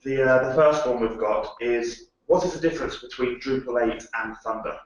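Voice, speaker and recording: A man's voice: medium pitch, normal speed. A man reading out audience questions in a brief, matter-of-fact way. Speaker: question moderator. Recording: distant, echoey, dull sound.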